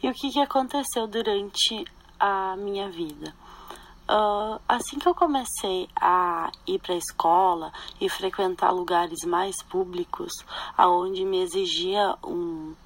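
A young woman, a student podcast host reading her narration in a careful, measured way. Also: mid-range voice, poor audio. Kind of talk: read narration.

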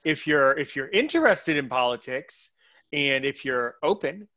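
A man's voice: mid-range voice, lively intonation, a little slow, sharp tone, lo-fi sound. A man hosting a podcast in casual conversation, rambling with many false starts and repeated words. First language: English. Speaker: podcast host.

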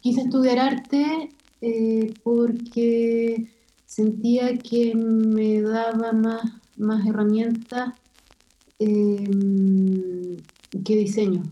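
A woman from Chile with a Chilean Spanish accent, an illustrator speaking thoughtfully as an interview guest and pausing often with fillers. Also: a little high, flat delivery, mellow, dull audio. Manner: thoughtful, hesitant with many fillers. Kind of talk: casual interview conversation.

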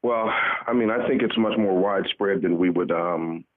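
A man in his sixties from the Bahamas speaks as a guest pastor in a radio interview. His voice is low, and he talks earnestly, feeling his way through sentences. It comes over a thin, muffled phone connection. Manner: measured, halting, earnest.

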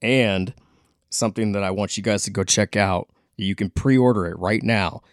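A man's voice: on the low side, normal speed, no room sound, studio quality. A man co-hosting a podcast, casually passing on event and release announcements. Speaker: podcast co-host and performer.

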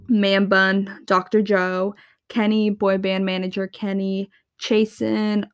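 A woman's voice: mid-range voice, dry recording, studio quality. A woman hosting a casual recap podcast, chatty and gossipy, often laughing between thoughts. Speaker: podcast host.

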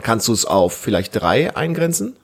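A man around his thirties or forties hosting a casual family podcast, explaining things and gently prompting with questions. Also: mid-range voice, very animated, a little slow, creaky, husky. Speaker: podcast host, father interviewing his child.

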